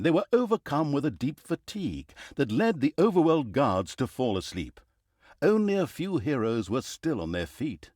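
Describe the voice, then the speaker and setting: A man's voice: medium pitch, darkish tone, dry recording, studio quality. A man playing a sardonic, theatrical storyteller who wryly narrates a fantasy video game. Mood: measured storytelling. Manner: theatrical, wry, sarcastic. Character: sardonic, theatrical male storyteller mocking heroes and scolding the player.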